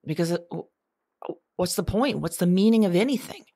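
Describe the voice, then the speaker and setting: Woman acting an anxious, husky modern woman. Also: fairly low pitch, expressive, warm tone, dry recording, clean studio sound. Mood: desperate. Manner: hesitant, confessional, halting. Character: anxious, searching modern woman, despairing yet thoughtful. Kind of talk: audio drama monologue acting.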